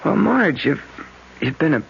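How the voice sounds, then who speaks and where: An actor playing a patient, caring American suitor in a 1970s radio drama, with an earnest, gentle, halting manner. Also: male voice, on the high side, very animated, gravelly, husky, heavily acted. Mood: concerned, persuasive. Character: concerned young suitor, patient and caring boyfriend. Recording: muffled old radio recording with hiss.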